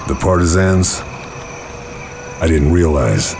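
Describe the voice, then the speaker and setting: An actor playing a stoic, gruff army lieutenant in a video game, terse, restrained and serious. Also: male voice, rumbling low, expressive, slow, background noise, heavily acted. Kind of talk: video game cutscene acting and narration. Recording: loud music behind the voice.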